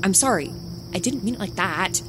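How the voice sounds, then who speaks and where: An actress playing an anguished, fragile young ex-girlfriend in an audio drama, pleading in a hushed, halting way. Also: medium pitch, animated, over music, natural voice. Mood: remorseful. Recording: noisy, night insect ambience behind.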